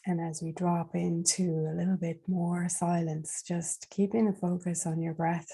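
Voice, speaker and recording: Woman doing guided meditation, really slow n gentle, low mellow voice w lots of gravelly fry. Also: level tone. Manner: soft, soothing, unhurried with long pauses.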